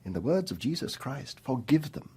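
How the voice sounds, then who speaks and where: An actor in a radio drama playing a worldly older confidant, shrewd and philosophical, with a dryly ironic, probing manner. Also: male voice, mid-range voice, big pitch swings, normal speed, a little mellow, breathy edge.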